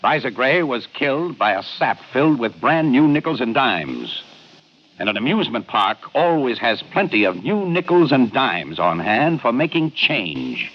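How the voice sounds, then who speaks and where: An actor playing a shrewd homicide inspector in a 1950s radio crime drama, questioning in a probing, pointed way. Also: male voice, medium pitch, sing-song, normal speed. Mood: accusing. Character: shrewd, accusing male homicide police inspector. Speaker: lead actor in a detective series. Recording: muffled vintage radio recording.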